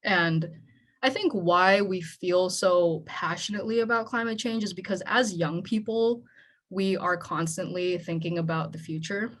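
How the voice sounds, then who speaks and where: A woman's voice: fairly low pitch, a little slow, fairly bright tone. A young woman from the Philippines, a native Tagalog speaker, giving an earnest, conversational talk on a panel. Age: young adult. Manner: earnest, conversational, with frequent "right?". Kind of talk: speech at a panel event.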